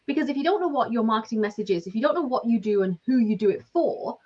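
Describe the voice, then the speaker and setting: A woman's voice: on the high side, expressive, fast talker, warm tone, husky. A woman in her forties with a Lancashire accent, a business coach talking chattily with lots of fillers. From Lancashire, England. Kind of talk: casual interview talk. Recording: slightly dull lo-fi sound.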